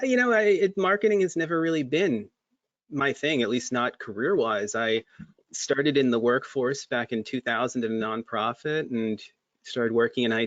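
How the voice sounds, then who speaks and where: A man's voice: medium pitch, a bit bright, dry recording, muffled recording. A man speaking as a guest in a webinar, talking casually about his career, with many restarts and fillers. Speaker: guest, MSP creative director.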